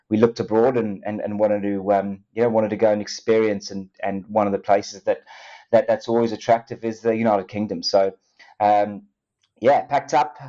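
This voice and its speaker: Middle-aged South African exec, loose rambly interview talk, lo-fi audio. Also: male voice, lowish voice, warm tone. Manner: rambling, many restarts and fillers, laughs easily. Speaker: company co-founder and CEO, guest. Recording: dull lo-fi sound.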